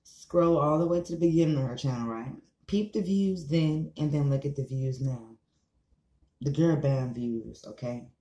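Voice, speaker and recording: Low-pitched American woman in an echoey room. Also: normal speed, dark tone, dull audio. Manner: blunt, emphatic, repetitive, profane. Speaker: online commentary vlogger. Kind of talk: casual, opinionated rant to camera.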